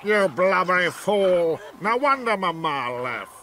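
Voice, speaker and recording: A man's voice: high-pitched, very animated, sharp tone, slight background noise, heavily acted. A man voicing a haughty, scolding imperial envoy in a video game, pompous and disdainful. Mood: contemptuous. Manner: pompous, deliberate menace.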